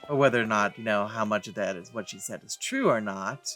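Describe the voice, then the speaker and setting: A man's voice: lowish voice, a little fast, pushed and bright. A man hosting a let's play, giving casual commentary in rambling, informal asides. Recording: game music behind.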